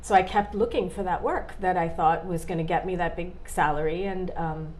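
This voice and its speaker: A woman in her forties, a guest in a casual interview, talking in a halting way with many fillers and restarts. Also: lowish voice, darkish tone. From born in Australia, raised in India and Canada.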